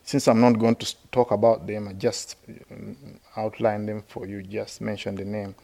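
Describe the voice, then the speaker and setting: A middle-aged man from Uganda, a Buddhist monk, giving a halting, explanatory dharma talk with many fillers at a meditation retreat. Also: fairly low pitch, flat delivery, vocal fry, warm tone. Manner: laughs while telling stories.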